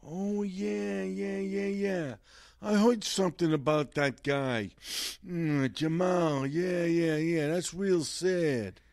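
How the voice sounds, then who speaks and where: A man plays a shifty official with a New York Italian-American mobster drawl, pitched fairly high and in no hurry at all. He dodges and bristles in street-tough talk, recorded dry and close for a comedy sketch. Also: slow, gravelly, darkish tone, dry recording. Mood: evasive. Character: shifty male Saudi PR chief with a mobster manner.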